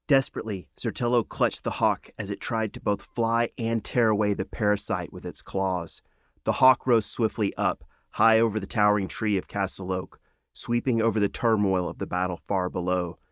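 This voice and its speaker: A man reading a children's fantasy audiobook as a dramatic, earnest storyteller with measured phrasing. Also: on the low side, fairly even intonation, no echo, clean studio sound. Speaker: author reading own audiobook.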